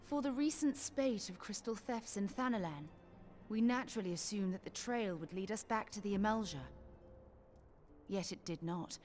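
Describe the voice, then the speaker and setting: An actress playing a composed, shrewd investigator in a fantasy video game, speaking formally in measured, old-fashioned phrasing. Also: female voice, mid-range voice, darkish tone, soft background music, lightly acted. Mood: assured, matter-of-fact. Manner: formal, measured, archaic diction. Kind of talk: dramatic video game voice acting.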